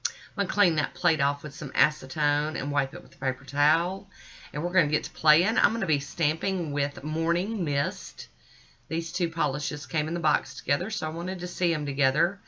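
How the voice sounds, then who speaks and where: A woman's voice: low voice, moderate pace, sharp tone, lo-fi sound. A middle-aged American woman giving a chatty, folksy and informal voice-over as a nail art vlogger. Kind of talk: casual tutorial voice-over.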